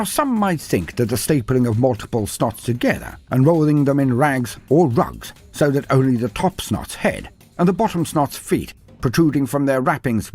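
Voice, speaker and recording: A man's voice: medium pitch, a little mellow, breathy edge. A man giving scripted dramatic narration of fantasy war lore in a theatrical, wry storybook manner.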